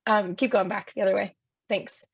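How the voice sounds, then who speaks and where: A woman's voice: medium pitch, fairly even intonation, normal speed, creaky, slightly rough, no echo, studio quality. A woman facilitating an online workshop over a video call, upbeat and speaking with frequent um fillers. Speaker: webinar facilitator.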